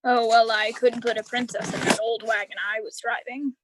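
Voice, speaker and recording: Woman playing Willie, a gentle, smitten older man. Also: on the high side, flat delivery, moderate pace, noisy background, lightly acted. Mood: smitten, earnest. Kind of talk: live radio drama acting.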